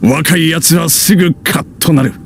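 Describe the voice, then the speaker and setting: A man's voice: a little high, measured pace, close to an everyday voice. A man voicing a world-weary, disapproving village hunter in an anime video game, grave and resigned in manner. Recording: music behind the voice.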